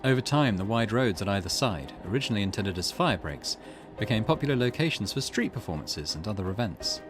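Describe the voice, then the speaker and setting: A man hosting a let's play, reading a visual novel aloud and thinking out loud in between. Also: on the low side, animated, a little fast. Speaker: gaming channel host. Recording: music under the voice. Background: game music.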